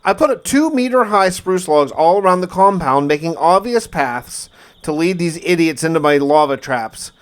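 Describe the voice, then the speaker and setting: A man's voice: a little high, animated, moderate pace. A man narrating his survival gameplay like a diary, telling it casually but dramatically, with wry asides. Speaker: gamer narrating a survival challenge.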